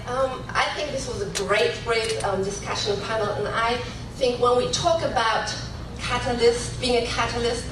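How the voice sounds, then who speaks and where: A woman making an impromptu comment from the floor at a conference. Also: fairly high pitch. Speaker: audience member, contributing writer. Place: large conference room. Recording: echoey hall, distant mic, noisy room.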